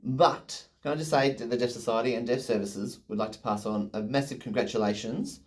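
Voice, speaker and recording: A man's voice: mid-range voice, a little fast. An enthusiastic man interviewing a guest over a video call, full of effusive praise.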